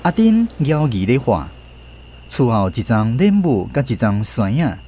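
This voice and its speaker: A man with a Taiwanese accent narrating a scripted radio storybook drama in an expressive storytelling manner. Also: medium pitch, measured pace, slight background noise.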